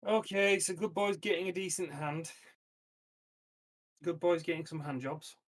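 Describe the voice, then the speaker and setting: A man's voice: a little high, unhurried. A man casually discussing plans as a player in a tabletop roleplaying game.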